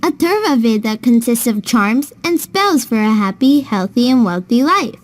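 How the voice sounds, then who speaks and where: A woman playing a knowledgeable big sister in a children's cartoon, with a fairly high voice. She explains patiently and kindly, as if telling a lesson to a younger child. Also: big pitch swings, moderate pace. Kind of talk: scripted children's educational storytelling.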